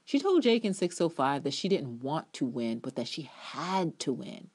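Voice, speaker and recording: A woman's voice: low-pitched, big pitch swings, moderate pace, a little mellow, husky. A woman reviewer giving a dramatic reading of a written TV review, emphatic and opinionated, drawing out key words and adding offhand asides. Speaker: TV episode reviewer.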